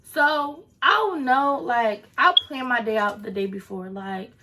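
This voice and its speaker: A woman's voice: on the high side, measured pace, noisy background. A woman of twenty-one answering viewer questions in a casual vlog, chatty with sassy asides to her viewers. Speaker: vlogger.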